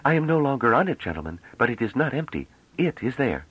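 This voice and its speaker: Male narrator as young woman, proud, coy, careful diction, lifted pitch, lively swoops, storybook emissary. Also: fast talker, slightly rough, dry recording, lo-fi sound. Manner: slightly hesitant, careful pronunciation, solemn and playful.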